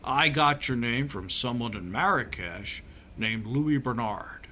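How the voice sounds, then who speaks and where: A man's voice: mid-range voice, expressive, unhurried, bright, forceful tone, slight background noise. A man playing a flustered, earnest doctor turned amateur sleuth in a 1950s-style radio comedy, hesitant and stammering. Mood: hesitant, confiding.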